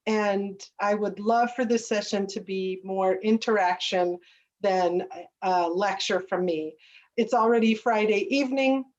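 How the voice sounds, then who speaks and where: A woman's voice: mid-range voice, fairly even intonation, a little mellow. Distant American woman on a webinar call. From United States. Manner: friendly, measured, with frequent uh and um. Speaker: guest speaker. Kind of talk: webinar talk to students. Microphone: video call. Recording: distant, dull lo-fi webinar audio.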